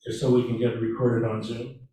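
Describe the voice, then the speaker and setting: A man giving a webinar presentation, speaking haltingly with restarts and fillers, recorded from a distance in a meeting room. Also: medium pitch, level tone, mellow. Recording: echoey room, from far off.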